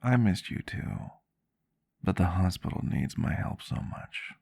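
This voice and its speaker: A man playing a gentle, courteous vampire doctor in an ASMR roleplay, soft-spoken, caring and formal in his wording, close to the mic. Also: low-pitched, a little slow, gravelly, husky, full character voice. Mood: tender, reassuring. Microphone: close microphone, dry sound.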